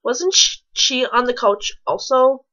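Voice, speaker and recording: A woman's voice: high-pitched, expressive, measured pace, bright, forceful tone, dull audio. A woman reading fan fiction aloud and commenting on it casually. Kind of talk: storybook-style reading mixed with casual mocking commentary.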